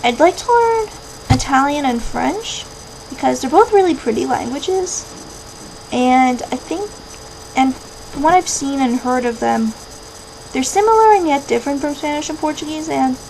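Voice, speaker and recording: A young American woman talks casually to camera, answering viewers' questions. Her voice sits fairly high and crackles with vocal fry. She interrupts herself with rambling asides, and there is clear background noise in the recording. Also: sing-song, normal speed, a little mellow. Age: 20s. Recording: clearly noisy background hiss and ambience.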